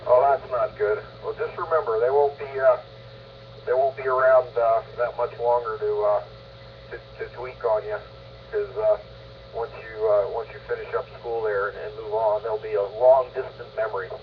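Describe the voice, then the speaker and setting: A man chatting casually as an amateur radio operator, with a halting, hesitant delivery, over a two-way radio. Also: medium pitch. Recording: scratchy, muffled ham radio with static hum.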